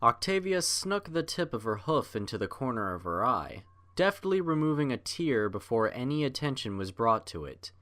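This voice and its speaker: Bright, expressive man narrating a story. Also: mid-range voice, normal speed, few pauses.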